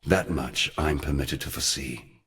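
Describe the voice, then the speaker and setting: A man playing an ancient, forgotten god in a video game, somber and weary, speaking solemnly and deliberately in archaic, portentous phrases. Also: a bass voice, a bit bright, big-room sound. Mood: stern exhortation. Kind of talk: scripted video game character dialogue.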